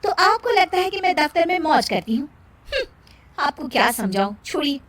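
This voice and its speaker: A woman puts on a young working daughter-in-law for a cartoon moral tale. Her voice is high and emphatic, with a huffy, defensive, harried edge. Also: big pitch swings, normal speed, a bit bright. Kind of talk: cartoon moral story acting.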